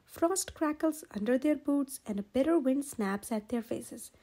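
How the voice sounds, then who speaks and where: A woman reading a children's picture book aloud in an expressive, gentle storytelling manner. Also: high voice, unhurried. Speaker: storyteller, channel host.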